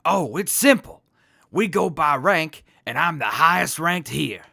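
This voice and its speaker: An actor in an audio drama playing an earnest hepcat military captain who talks in jive beatnik slang. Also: male voice, a little high, lively intonation, measured pace, vocal fry, pushed and bright, dry recording, studio quality, heavily acted. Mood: proud, sincere.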